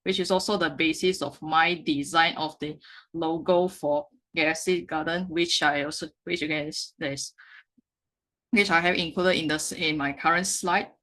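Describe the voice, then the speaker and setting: A woman, a Mandarin speaker, giving a slide presentation with frequent halting self-corrections. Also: deep voice, fairly even intonation, a bit bright. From Malaysia. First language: Mandarin Chinese. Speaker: meetup speaker.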